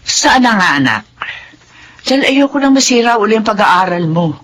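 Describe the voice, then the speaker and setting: A woman's voice: a little high, animated, moderate pace, a bit bright. An actress playing a caring middle-aged mother in a Filipino radio drama, earnest as she scolds and pleads. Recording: faint background noise.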